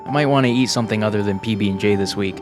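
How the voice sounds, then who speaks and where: A man voicing a polite, earnest young man in a visual novel, speaking in a gentle, courteous way. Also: lowish voice, racing, music in the background, natural voice. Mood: friendly. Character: polite, earnest young man, visiting city cousin in a game. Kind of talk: fan-dubbed visual novel dialogue.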